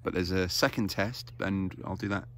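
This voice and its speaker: A man's voice: sits low, moderate pace, a bit bright, slight background noise. A man in his thirties hosting a foraging vlog outdoors on a grassy hill, explaining things casually with fillers as he films himself on a phone held close. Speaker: foraging channel host. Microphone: phone camera held close.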